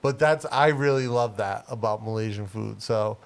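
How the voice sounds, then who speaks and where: A man in his forties co-hosting a casual livestream chat, relaxed and full of "like" fillers, laughing often. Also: fairly low pitch, normal speed, creaky. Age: 40s. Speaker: livestream co-host.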